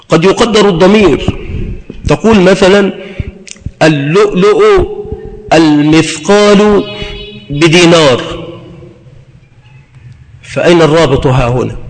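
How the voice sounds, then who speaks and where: A man lecturing as a grammar teacher, in a didactic manner that repeats phrases for emphasis. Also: a little high. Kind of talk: lecture explaining grammar with examples. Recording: long hall reverb.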